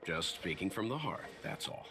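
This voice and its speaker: A man voicing a calm, world-weary older gentleman in a video game, speaking politely and with measure. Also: sits low, moderate pace. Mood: wry, resigned. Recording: background music throughout.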